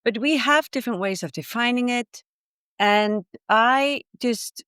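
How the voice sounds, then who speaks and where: A woman's voice: a little high, measured pace, a bit bright, clean tone, dry recording, clean studio sound. A woman from Iceland whose first language is Icelandic, a guest author explaining ideas in a reflective, thoughtful way during a podcast interview.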